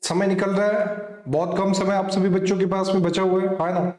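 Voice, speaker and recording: A very high-voiced man works through problems like a coaching teacher. He speaks straight to his students and keeps adding little check questions. The sound is clearly echoey, as in a bare room. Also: flat delivery, a little fast, a little mellow. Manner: repetitive tag questions, addressing students directly. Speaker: maths teacher. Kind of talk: exam coaching lesson, explaining worked problems.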